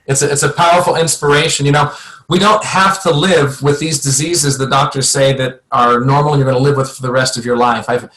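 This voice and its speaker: A man's voice: medium pitch, steady tone, fairly quick, fairly bright tone. A man hosting a sales webinar, talking in an upbeat and persuasive way. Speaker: webinar host, product presenter. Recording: echoey room.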